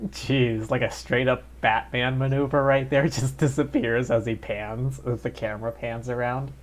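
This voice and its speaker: A man's voice: medium pitch, steady tone, normal speed. A man doing casual live game commentary as a streamer, with offhand, wry reactions.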